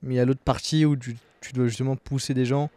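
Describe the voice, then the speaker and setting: Mellow young man interviewing. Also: medium pitch, flat delivery, fast, no echo. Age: young adult. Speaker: podcast interviewer. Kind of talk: casual interview questions. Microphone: close podcast microphone on arm.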